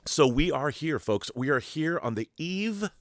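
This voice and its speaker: A man's voice: fairly high pitch, very animated, a little slow, no room sound, studio quality. A man hosting a pop culture show with a grandiose, playful, theatrical manner. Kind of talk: showy scripted-sounding intro and casual fan discussion.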